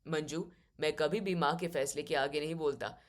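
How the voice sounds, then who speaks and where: Lady doing a young husband in a family drama, low voice, calm, mama's boy, won't budge. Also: moderate pace, close to an everyday voice. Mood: calm, defensive. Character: mild, dutiful young husband, devoted to his mother.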